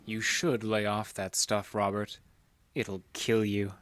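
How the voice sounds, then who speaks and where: A man's voice: lowish voice, level tone, slow, fairly bright tone, slight background noise. An actor playing a suave, sinister male vampire cultist in a horror audio drama, speaking with mocking, genteel menace. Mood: wry, taunting.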